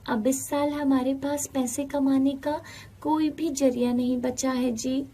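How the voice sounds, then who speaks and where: A woman's voice: sits high, level tone, measured pace, mellow, voice pushed up. A woman voicing a humble farmer's wife in a storybook tale, earnest and emotional. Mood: worried.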